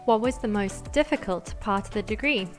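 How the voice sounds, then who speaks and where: A woman narrates from a script at a medium pitch. She poses interview questions as the video's narrator, with quiet music underneath. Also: normal speed.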